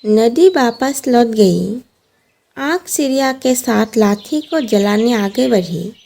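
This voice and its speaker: A woman's voice: fairly high pitch, expressive, takes it slowly, gravelly, warm tone. A woman reading scripted narration for a children's moral story.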